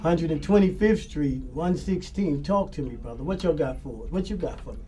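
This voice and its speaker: A man hosts a local talk show, his voice mellow and a little higher than most. He talks loosely and familiarly, calling his guests brother. He sits a bit back from the microphone, with faint noise under him. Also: fairly quick. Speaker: talk show host and moderator. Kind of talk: casual community talk show moderating. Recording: distant mic with faint noise.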